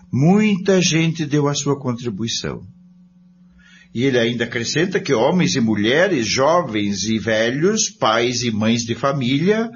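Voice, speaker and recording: A man narrates a religious script with emphatic, declamatory phrasing. His delivery is unhurried and his pitch moves widely. The recording is dull and lo-fi. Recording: dull lo-fi audio with faint noise.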